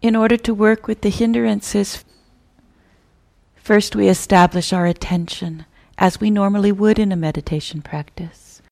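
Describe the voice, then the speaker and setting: A woman teaching a guided meditation in a measured, gentle manner, with long pauses between phrases. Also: mid-range voice, steady tone, gravelly.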